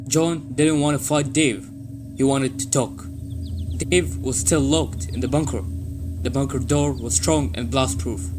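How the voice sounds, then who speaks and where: Man, story narrator, average pitch, scripted plain sentences, prominent background music.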